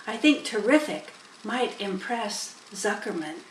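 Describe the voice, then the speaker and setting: A woman's voice: mid-range voice, animated, unhurried, roomy sound, distant mic, a little hiss or hum, natural voice. A woman voicing a clever, businesslike female spider with a frank, commanding manner in a storybook.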